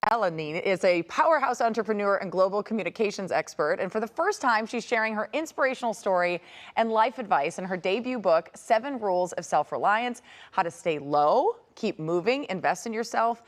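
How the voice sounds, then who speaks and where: A woman TV host from Minnesota, interviewing a guest in a studio with warm enthusiasm. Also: medium pitch, expressive, a little fast. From Minnesota, USA. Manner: warm, enthusiastic, laughs often. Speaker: TV news host.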